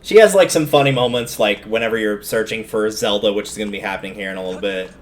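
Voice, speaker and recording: A man's voice: on the low side, fast talker, a little hiss or hum. A man giving casual, chatty, opinionated live commentary as he streams a video game, rambling off into asides. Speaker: game livestreamer.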